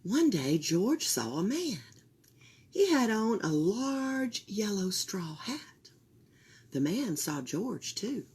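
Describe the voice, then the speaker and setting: A woman reading a children's picture book aloud at a library storytime, with a lively voice that rises and falls a lot. She takes it slowly, her tone soft and breathy, and sometimes drops to a whisper for suspense. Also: medium pitch.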